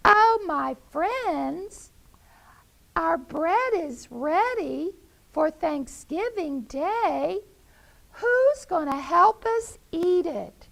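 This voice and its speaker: A woman voicing a proud, bossy mother hen in a children's story, in a high sing-song way. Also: lively intonation, very slow, creaky, fairly bright tone, full character voice. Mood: boastful.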